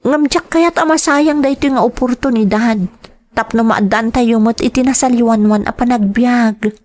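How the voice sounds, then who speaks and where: A young, strong-willed and dutiful daughter in an Ilocano radio play, played by a woman. Her voice is a little high, and she has an Ilocano Filipino accent. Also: moderate pace, a little mellow, close to an everyday voice. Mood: earnest, concerned. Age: young adult. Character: determined, dutiful grown daughter seeking work abroad. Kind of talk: dramatized audio drama acting.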